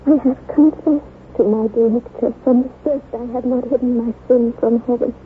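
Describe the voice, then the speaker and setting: An actress playing a frail, guilt-ridden wife in an old-time radio melodrama, speaking with solemn, mournful drama. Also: female voice, on the high side, fairly even intonation, normal speed, close to an everyday voice. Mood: weak, remorseful. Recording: tinny, noisy vintage radio recording.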